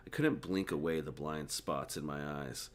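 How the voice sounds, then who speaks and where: A man's voice: a bass voice, moderate pace. A man who is mildly drunk, reading a book aloud informally and stumbling over words, with casual commentary.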